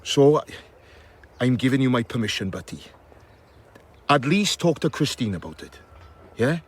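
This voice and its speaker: An actor playing a blunt but caring doctor who urges a colleague on, in a naturalistic radio drama. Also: male voice, medium pitch, big pitch swings, normal speed, slightly rough. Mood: persuasive, sincere. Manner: frank, persuasive, gently urging.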